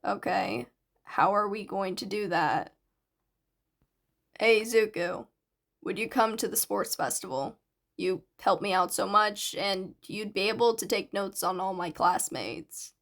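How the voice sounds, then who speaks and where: Woman doing a shy teen schoolboy for an audiobook, lowered pitch, quiet n kinda hesitant. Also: unhurried, creaky. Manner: hesitant, subdued. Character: shy, hesitant, soft-spoken teenage schoolboy. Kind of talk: audiobook character dialogue.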